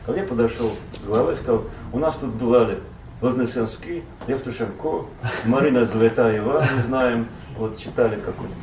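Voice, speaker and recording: Man, interview guest, meandering storyteller, middle pitch, roomy echo, background noise. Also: normal speed, darkish tone, distant mic. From Russia. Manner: rambling, with many fillers and self-corrections. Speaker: interview guest, poet and translator. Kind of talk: relaxed storytelling in conversation.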